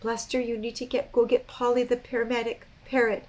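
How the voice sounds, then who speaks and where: A woman voicing a kind, patient bird who leads friends in a children's storybook, speaking calmly. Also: on the high side, a little fast, voice pushed up, natural voice. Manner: calm, kindly, patient.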